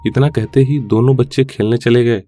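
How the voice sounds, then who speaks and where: A man's voice: medium pitch, steady tone, moderate pace, dark tone. Male story narrator over music. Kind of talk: scripted children's story narration.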